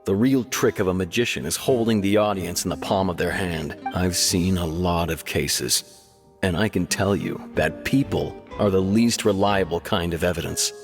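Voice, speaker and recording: Low-voiced, sceptical investigating officer. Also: male voice. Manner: measured, blunt, matter-of-fact. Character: frank, sceptical adult male investigating officer. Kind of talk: video game dialogue, explanatory. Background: soft background music.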